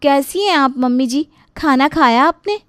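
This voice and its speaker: A woman voicing a cheeky, chatty young bride in an animated family story, teasing and playful. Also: high voice, unhurried, dry recording, studio quality. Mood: sweet, eager. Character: cheeky, chatty young bride who fusses over her in-laws.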